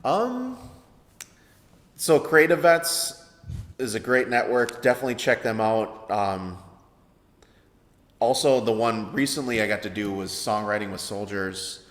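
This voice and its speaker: A man in his thirties with a baritone voice, answering interview questions in a hesitant way with many fillers. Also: very animated, a little slow, pauses often, fairly bright tone. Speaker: interview guest, veteran songwriter. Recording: echoey room with long reverb.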